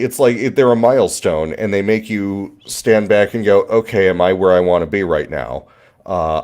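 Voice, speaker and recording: A man's voice: low-pitched, a little mellow. A man in his forties in a casual chat, dry and reflective, often restarting his sentences. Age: 40s.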